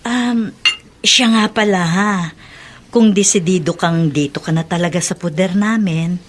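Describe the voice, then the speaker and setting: A woman's voice: on the low side, measured pace. An actress playing a protective, motherly middle-aged housewife in a Filipino radio drama, reassuring and matter-of-fact. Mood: friendly.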